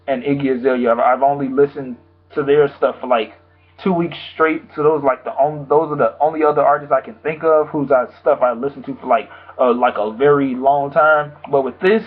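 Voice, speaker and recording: A young man, slightly hoarse from a sore throat, giving a rambling, casual album review full of fillers. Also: medium pitch. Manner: rambling, loose, full of "like" and "you know". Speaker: music reviewer. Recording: tinny phone-like sound.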